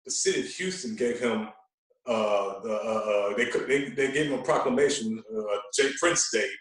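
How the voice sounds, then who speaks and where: A man with a medium-pitched voice chats casually as a guest on a podcast. He tells stories in an animated way, doubling back on his words. The sound is very echoey and a bit distant from the microphone. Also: moderate pace. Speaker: podcast guest, veteran radio host. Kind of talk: casual chat, storytelling. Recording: echoey room, distant from mic.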